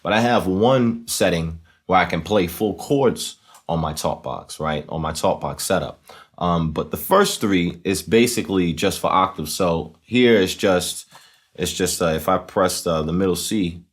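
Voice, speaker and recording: A man with a low-pitched voice hosts a casual tutorial. He explains step by step in a conversational way, often checking that the listener follows. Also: measured pace. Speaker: host of a talk box tutorial series.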